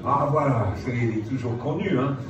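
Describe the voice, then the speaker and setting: A middle-aged man preaching to a live audience, telling stories in an animated, theatrical way. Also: mid-range voice, normal speed. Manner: animated, theatrical retelling with acted-out dialogue. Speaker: preacher, former pastor. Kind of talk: storytelling sermon to a live audience. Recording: very echoey room, distant mic, clear noise.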